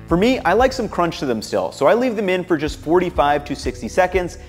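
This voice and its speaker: A young man in his twenties, upbeat and relaxed, narrating his home cooking and chatting to the camera in his kitchen. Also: sits high, a little fast, faint music behind. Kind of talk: cooking vlog voice-over and casual to-camera chat.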